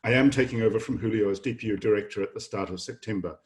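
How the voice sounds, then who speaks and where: A middle-aged man from New Zealand, a professor, giving a measured, earnest welcome address to new students in an online session. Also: lowish voice, a little mellow. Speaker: professor and incoming programme director. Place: home office, online session.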